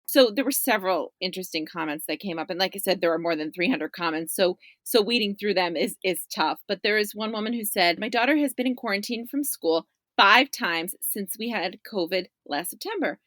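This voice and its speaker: A woman's voice: medium pitch, animated, fairly quick, hardly any pauses, fairly bright tone, no echo, clean studio sound. A woman journalist talking casually as an interview guest on a podcast.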